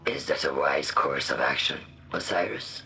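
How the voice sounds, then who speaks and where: An actress playing an obsessed, imperious scientist villain in a video game, cold, condescending and theatrical, with gravelly half-whispers. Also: female voice, a bass voice, normal speed, heavily acted. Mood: cold condescension. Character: obsessed imperious female scientist turned villainous would-be conqueror. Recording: loud music under the voice.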